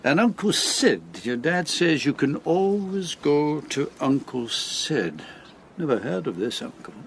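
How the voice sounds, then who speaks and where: Creaky, lively friendly Englishman. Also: a little high, unhurried, a little hiss or hum. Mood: casual, probing. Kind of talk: radio drama acting.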